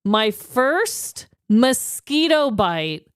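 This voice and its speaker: A woman's voice: on the high side, big pitch swings, very slow, smooth, no room sound, clean studio sound. A woman co-hosting a podcast with casual comedic banter and a playfully mock-dramatic way of talking. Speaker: podcast co-host.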